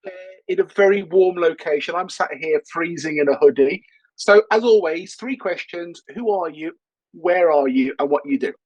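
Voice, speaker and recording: A man's voice: fairly high pitch, normal speed, darkish tone, no echo, muffled recording. A man hosting a live webinar as an online business coach, upbeat and chatty, from a cold home office over a video call.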